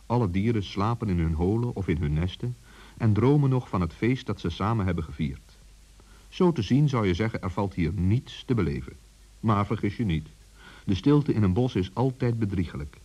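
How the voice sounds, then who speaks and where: Male children's storyteller: low, dark and husky, intimate, talking straight to the listener. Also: lively intonation, moderate pace. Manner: intimate, addresses listeners and characters directly.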